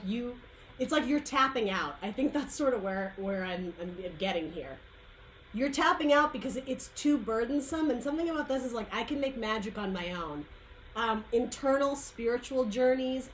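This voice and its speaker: A woman's voice: fairly high pitch, slight background noise, poor audio. A woman giving a casual tarot reading, her throat dry and her voice going, rambling and searching for words. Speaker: tarot reader.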